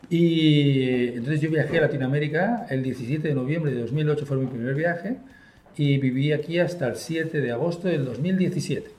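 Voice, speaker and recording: A man's voice: on the high side, normal speed, a little mellow, a little hiss or hum. A man with a Spain Spanish accent, a guest being interviewed, talking fluently and enthusiastically with frequent fillers, in an office. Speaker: guest, software company partner. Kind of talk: conversational interview. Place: office room. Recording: roomy echo.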